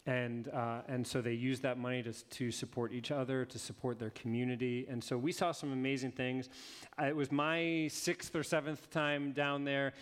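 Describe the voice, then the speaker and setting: A man talks to his church congregation in a loose, chatty way, leaning on fillers as he goes. His voice sits at a middle pitch for a man. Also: little pitch movement, normal speed, few pauses, clear, resonant tone. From United States. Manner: casual, conversational, with frequent fillers.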